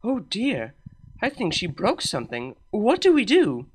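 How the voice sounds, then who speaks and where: A fretful princess mare, as a man puts her on in a storybook reading. She speaks slowly and softly, worried and hesitant, with a lively rise and fall. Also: high-pitched, breathy edge, pitched above the performer's own voice, full character voice.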